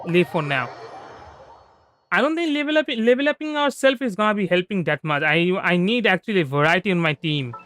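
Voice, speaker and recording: Male game streamer, very high register, bright tone, loose rambling chat. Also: lively intonation, a little fast, slight background noise. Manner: rambling, repeats and restarts phrases often. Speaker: livestreamer. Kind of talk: casual gameplay livestream commentary. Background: soft background music.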